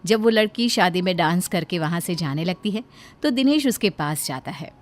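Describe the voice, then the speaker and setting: A woman's voice: on the low side, expressive, normal speed. A woman reading scripted storytelling narration for a moral story. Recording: background music under the voice.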